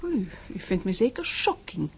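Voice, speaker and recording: A woman playing an impulsive, cheeky teenage daughter in a radio drama, talking in an excitable, pert way. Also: medium pitch, normal speed, slightly rough, heavily acted. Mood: excited. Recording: noisy old radio play recording.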